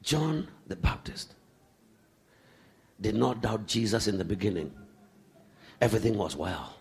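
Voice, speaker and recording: A man preaching a sermon to a congregation, animated, swinging from shouts to hushed asides. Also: lowish voice, unhurried, creaky, husky.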